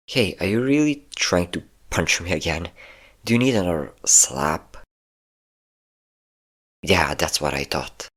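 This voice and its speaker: Guy doing a super deep vampire captor, flirty and sadistic, smug bossy teasing, intimate audio roleplay. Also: a bit bright, exaggerated voice. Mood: irritated, threatening. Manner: teasing, commanding, theatrically smug.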